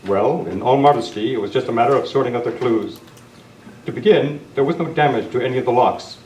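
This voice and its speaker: A man's voice: mid-range voice, fairly quick, a little mellow, lightly acted. A man playing an upright, earnest masked lawman in an old-time radio western, with a formal heroic manner. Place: club meeting room, live presentation. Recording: echoey, noisy room, distant mic.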